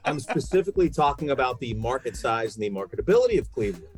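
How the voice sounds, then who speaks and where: A man's voice: medium pitch, big pitch swings, fast, faint music behind. A man from New York in a casual, opinionated sports conversation, talking emphatically and posing rhetorical questions. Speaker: sports podcast guest and radio play-by-play broadcaster.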